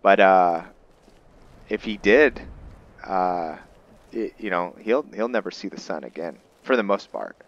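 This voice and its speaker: A man giving unscripted, reflective roleplay gameplay commentary, thinking aloud in a halting, musing way. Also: deep voice, dull audio. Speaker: gamer narrating a roleplay playthrough. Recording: game rain and thunder behind voice. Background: game ambience of rain and thunder.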